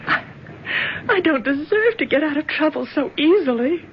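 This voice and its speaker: A woman's voice: high voice, animated, normal speed. An actress playing an anxious, emotional young society woman in a 1970s radio drama. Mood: contrite. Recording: dull, faintly noisy old radio broadcast.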